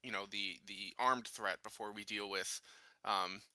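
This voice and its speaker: A man's voice: on the low side, fairly even intonation, unhurried, sharp tone, no room sound. A man playing a tabletop game, talking casually and arguing his reasoning through. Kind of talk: casual strategy talk during a roleplaying game.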